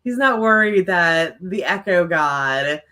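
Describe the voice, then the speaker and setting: A woman's voice: fairly low pitch, animated, slow, bright, forceful tone. A woman with a mild stutter, chatting casually to viewers as the co-host of a writing livestream, with many fillers.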